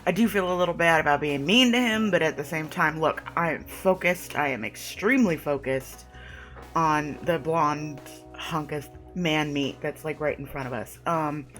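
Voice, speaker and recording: A young woman with a stuffy, sniffly voice, chatting excitably and swearing casually as she plays and reads through a game. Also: deep voice, normal speed, soft background music. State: congested and sniffly. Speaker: gaming video creator. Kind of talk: let's play commentary and reading game text.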